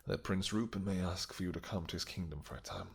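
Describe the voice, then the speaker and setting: Man playing a deep-voiced, devoted vampire king. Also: fast talker, vocal fry, breathy edge, heavily acted. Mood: reassuring, concerned. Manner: formal, courtly, affectionate phrasing. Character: tender, possessive male vampire king, courtly and devoted. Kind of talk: romantic fantasy audio roleplay.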